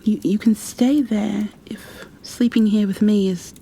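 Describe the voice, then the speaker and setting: A woman playing a gentle, protective creature bookkeeper in a soft-spoken ASMR roleplay, hushed, soothing and a little hesitant. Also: medium pitch, steady tone, measured pace, gravelly, a little hiss or hum. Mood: gentle, reassuring.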